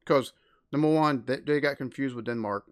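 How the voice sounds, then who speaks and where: A man's voice: medium pitch, moderate pace. American man, reaction host, rambling and exasperated commentary. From United States. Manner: rambling, exasperated, lots of fillers. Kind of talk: casual commentary.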